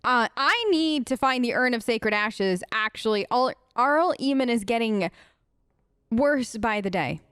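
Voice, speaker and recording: A woman's voice: on the high side, animated, measured pace, a bit bright, clear, resonant tone, dry recording. A young woman giving chatty, playful role-play commentary while playing a game, close on the mic. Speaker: let's play host.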